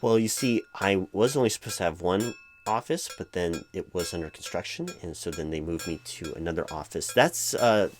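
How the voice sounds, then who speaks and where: Over background music, a man playing a flustered, officious hiring manager speaks in a low voice. He stammers and fusses through office procedure in a comedic one-sided interview roleplay, halting and restarting as he goes. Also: expressive. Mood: flustered, apologetic. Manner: halting, stammering, fussy and bureaucratic. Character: flustered, exasperated, officious male hiring manager, distracted, apologetic.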